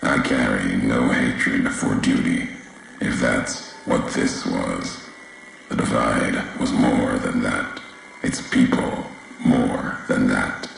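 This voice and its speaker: A male actor playing a brooding, embittered courier and former soldier in a video game, speaking solemnly and cryptically in weighty, deliberate phrases with heavy pauses. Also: fairly bright tone, exaggerated voice. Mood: brooding, ominous. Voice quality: very deep, gravelly. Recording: roomy echo, faint background noise.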